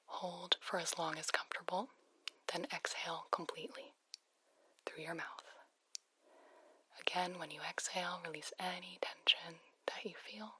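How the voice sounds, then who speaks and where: A woman whispers breathily through a phone voice effect, gently guiding a relaxation ASMR roleplay as a soothing sleep hotline specialist. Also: lowish voice, fairly even intonation, husky, no room sound. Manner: slow, soothing, gently instructive. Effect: phone voice filter.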